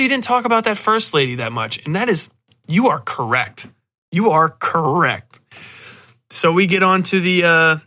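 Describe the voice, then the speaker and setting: A man's voice: on the high side, bright, forceful tone. A man hosting a podcast, giving a casual, rambling film review in a blunt, profane, sardonic way with exasperated asides. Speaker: podcast host reviewing horror films. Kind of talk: casual spoken movie review.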